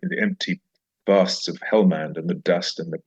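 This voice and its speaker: A man's voice: low-pitched, moderate pace, slightly rough. A middle-aged man speaking as a podcast guest in an emphatic literary discussion, repeating phrases and posing rhetorical questions, on a dull recording. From South Africa. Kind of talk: animated conversational literary discussion.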